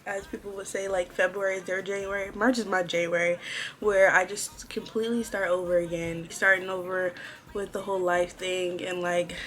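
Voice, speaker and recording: A woman in her twenties speaks in a slightly low voice. She chats informally to her viewers in a casual vlog style, addressing them like close girlfriends. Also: fairly bright tone. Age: 20s. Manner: chatty, informal, girl-talk address to viewers. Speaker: vlogger. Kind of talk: casual chit-chat to camera.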